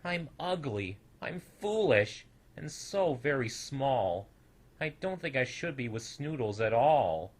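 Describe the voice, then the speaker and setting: A man's voice: mid-range voice, sing-song, slow. A man voicing a small, young, naive storybook creature, earnest and easily wounded, with a childlike manner. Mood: dejected.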